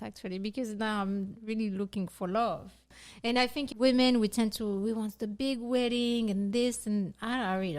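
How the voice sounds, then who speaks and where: A woman from Morocco co-hosting a casual podcast chat, laughing often and restarting her sentences. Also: mid-range voice. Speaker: podcast co-host.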